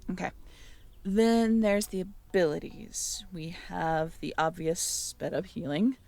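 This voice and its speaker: A woman's voice: lowish voice, fairly even intonation, slow, creaky, dark tone, no echo. A woman in an intimate audio roleplay, musing aloud as she reads through notes and checks back with the listener.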